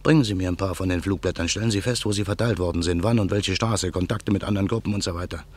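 An actor playing an embittered man who waits through the night for revenge, speaking his inner monologue slowly and in a hushed voice in a radio play. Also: low-pitched, slightly rough. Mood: brooding. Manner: slow, brooding, hushed. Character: embittered man awaiting revenge, male adult. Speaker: narrator and main character.

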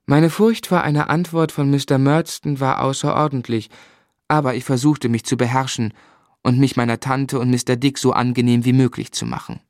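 An actor playing a reflective storyteller who recalls his childhood, in measured literary narration for a radio drama. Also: mid-range voice, fairly even intonation, dry recording, studio quality, natural voice. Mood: reflective, composed.

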